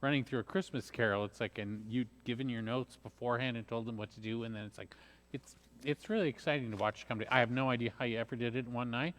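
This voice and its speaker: A man's voice: medium pitch, steady tone, fast talker, fairly bright tone. A man hosting a casual, conversational interview. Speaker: host.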